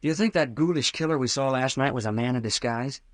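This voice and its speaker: A man's voice: medium pitch, fast, dry recording, studio quality, natural voice. An actor playing an anxious, earnest college boy in an old-time radio thriller. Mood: puzzled, probing. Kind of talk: 1940s radio acting.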